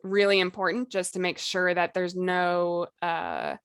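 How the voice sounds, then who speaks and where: A woman's voice: lowish voice, a little slow, smooth, dry recording, clean studio sound. A woman co-hosting a podcast, explaining writing tips in an informal, hedging way with lots of "like" and "you know". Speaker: podcast co-host. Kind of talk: casual instructional chat about fiction writing.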